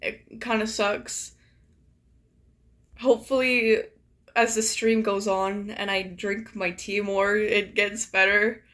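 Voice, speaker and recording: A young woman streaming and chatting casually with viewers, her voice a little raspy and deeper from just waking up, talking in a rambling way full of "like". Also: level tone, slow, fairly bright tone. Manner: chatty, filler-heavy, many "like"s. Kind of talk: casual live-stream chat.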